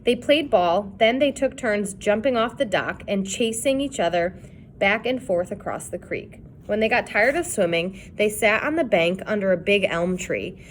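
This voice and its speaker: Expressive woman reading a storybook. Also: medium pitch, moderate pace, a little hiss or hum. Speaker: reader. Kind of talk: reading a children's chapter book aloud.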